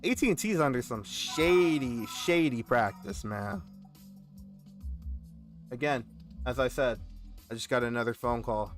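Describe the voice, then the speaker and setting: A man, chatting casually as a gaming host and telling an animated, complaining story. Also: mid-range voice, a little slow, pauses often, soft background music. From Texas. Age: 20s. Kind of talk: casual rambling chat while gaming.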